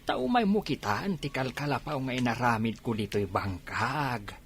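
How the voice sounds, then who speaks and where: A man's voice: mid-range voice, lively intonation, normal speed, a bit bright, breathy edge. A man acting an easygoing old village husband and farmer in a radio drama. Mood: grumbling.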